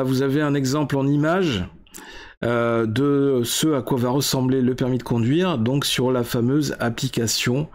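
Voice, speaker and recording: A man in his forties hosts a casual tech segment in a mid-pitched voice. He talks in a chatty way and hesitates often. He drops dry, ironic jokes as he goes. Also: a little mellow. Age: 40s. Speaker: tech show host. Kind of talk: casual tech explainer monologue.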